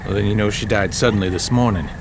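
A man's voice: fairly low pitch, fast, noisy background, natural voice. A man playing an earnest, determined, rather formal investigator in an amateur radio drama. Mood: guarded, determined.

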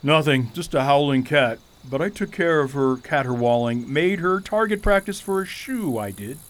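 A man's voice: a little high, lively intonation, moderate pace, lightly acted. A man playing a pompous, foppish goat king in a radio play, with florid, theatrical, wordy speech. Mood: pompous, dismissive.

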